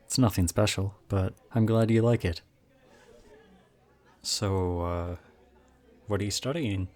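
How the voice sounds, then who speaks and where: A very low-pitched young college guy, played by a male voice actor in an intimate roleplay audio drama. He is chatty and charming, pokes fun at himself and teases softly, with something subtly odd about him. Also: takes it slowly. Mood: curious, friendly. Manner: chatty, self-deprecating, softly teasing.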